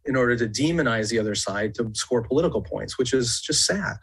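A man explaining his view in a measured, conversational way on a podcast panel. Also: medium pitch, animated, gravelly, fairly bright tone, husky. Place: indoor room, remote podcast call.

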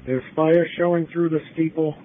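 A man's voice: fairly high pitch, little pitch movement, normal speed, slight background noise. A man working as a fire alarm dispatcher, giving formal announcements in short, repeated, clipped phrases over a two-way radio. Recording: narrow-band fire radio scanner audio.

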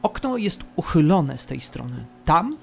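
A man runs a tabletop game as its narrator. His voice sits a little high, and he tells the scene with deliberate, dramatic pauses. Light music plays underneath. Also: very animated, vocal fry, darkish tone. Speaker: game master.

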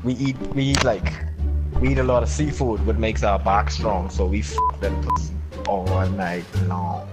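A man's voice: lowish voice, steady tone, moderate pace, over loud music. A man with a Bahamian accent bantering casually over online game voice chat.

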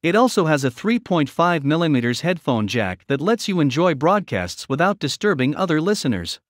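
A man's voice: on the high side, big pitch swings, normal speed, no echo, clean studio sound. A man reading a scripted promotional voice-over as a product review narrator.

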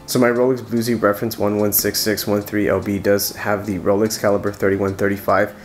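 A man's voice: on the low side, little pitch movement, fairly quick, soft background music. A man in his thirties with a stuffy, nasal voice from a cold, chatting casually and enthusiastically to camera from his living room. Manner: chatty, enthusiastic, restarts often. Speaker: watch reviewer. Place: living room with couch, evening lighting.

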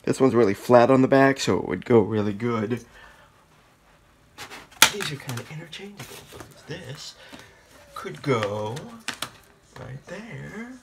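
A man showing his handmade flower sculptures, muttering slowly and now and then breaking into excited exclaims, sometimes whispering, filmed in a dark blacklit room. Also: medium pitch, creaky, noisy background. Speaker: artist showing his work. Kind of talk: casual off-the-cuff show-and-tell, partly whispered.

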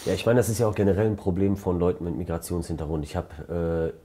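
A man's voice: deep voice, fast, warm tone, husky. A man in his thirties from Germany hosting a podcast, asking long, elaborately phrased questions, seated in a hotel room with a lapel mic. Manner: elaborate, formal phrasing with many back-channel yeses. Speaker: podcast host. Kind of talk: interview questions.

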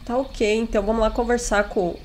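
A young woman hosting a gaming channel, chatting casually through a let's play on a headset mic, still a little hoarse from a cold. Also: a little high, little pitch movement, brisk, a little mellow, a little hiss or hum. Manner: chatty, jokey asides to the game characters. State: recovering from a cold, slightly hoarse.

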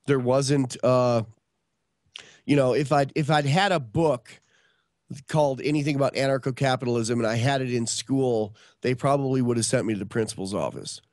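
A man's voice: mid-range voice, normal speed, darkish tone, dry recording, clean studio sound. A man in his early fifties from upstate New York, telling stories in a rambling casual way on a podcast video call. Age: 50s. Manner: rambling, many restarts and fillers. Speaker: podcast guest. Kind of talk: casual storytelling chat.

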